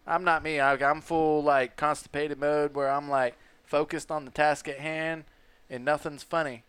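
A man's voice: a little high, flat delivery, moderate pace, fairly bright tone, clear, resonant tone, no room sound. A man telling rambling, self-mocking stories on a casual podcast. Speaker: podcast co-host. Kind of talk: casual storytelling chat.

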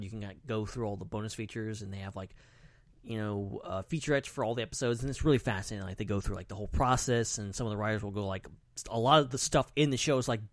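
A man's voice: mid-range voice, no echo. Casual male podcast co-host, close and dry. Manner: informal, talks in loose fragments peppered with "like". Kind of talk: casual pop-culture podcast chat.

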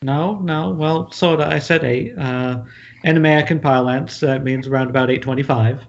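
A man reading the part of a chummy, unsure film director, halting as he goes, in an amateur table read over an internet call. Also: medium pitch, gravelly, lightly acted. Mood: halting, friendly. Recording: muffled, faintly noisy internet call audio.

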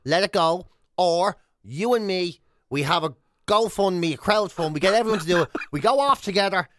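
An Irish man co-hosts a comedy radio show in a high, very lively voice. He rambles excitably as he tells stories and banters. The sound is dry and close. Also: normal speed, dry recording.

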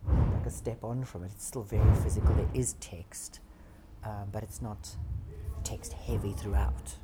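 A South African woman speaks with an unusually low, dark-pitched voice. She talks casually and reflectively, drifting now and then into soft asides. Clear background noise sits under the recording. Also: steady tone, measured pace. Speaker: theatre maker, interviewee. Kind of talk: casual conversational interview.

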